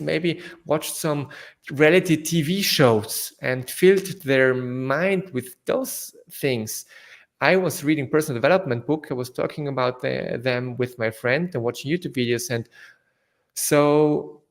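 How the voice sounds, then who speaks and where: A young man from South Tyrol whose first language is German, speaking English with a German accent as an interview guest, halting with fillers and restarts. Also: on the high side, gravelly. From northern Italy, South Tyrol. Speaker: guest entrepreneur. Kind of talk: casual interview answers.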